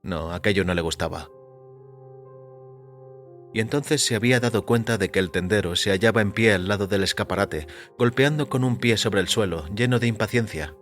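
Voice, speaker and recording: A man reading a first-person short story as a narrator, in a measured, deliberate way. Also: on the low side, a bit bright. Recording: music under the voice. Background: background music.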